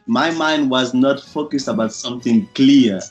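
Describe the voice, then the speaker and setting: A man interviewed about his past, speaking hesitantly with many pauses and small laughs. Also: medium pitch, dull audio. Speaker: interview guest. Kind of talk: casual interview answers. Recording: faint music behind.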